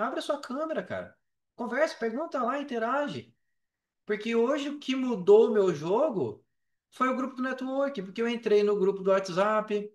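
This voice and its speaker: A Brazilian man with a high-pitched voice gives casual advice as a podcast guest. He talks in slangy, emphatic phrases that he likes to repeat. The sound is roomy and echoing, as if he sits back from the mic. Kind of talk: casual interview talk, giving advice. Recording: roomy echo, distant from the mic.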